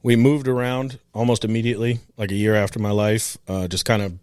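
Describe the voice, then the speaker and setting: A man from Florida, a podcast co-host speaking as a guest, telling stories in a relaxed way with many fillers. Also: fairly low pitch, a little fast, clear, resonant tone, no room sound, clean studio sound. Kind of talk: casual interview conversation.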